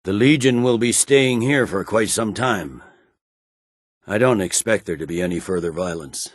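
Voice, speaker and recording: An actor voicing a stern, battle-hardened Imperial general in a fantasy video game, with a curt and commanding manner. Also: male voice, lowish voice, moderate pace, dry recording, clean studio sound, natural voice. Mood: grave, measured. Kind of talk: video game character dialogue.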